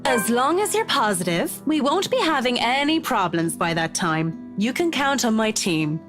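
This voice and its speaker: Assured businesswoman, visual novel role: measured and formal, animated pitch, music behind. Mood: assuring. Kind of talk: visual novel game voice acting.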